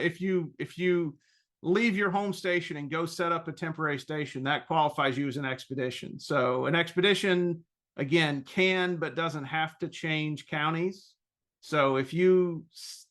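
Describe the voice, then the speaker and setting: A man presenting an informal webinar question-and-answer session, explaining things in a halting, self-correcting way. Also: a little high, fairly bright tone.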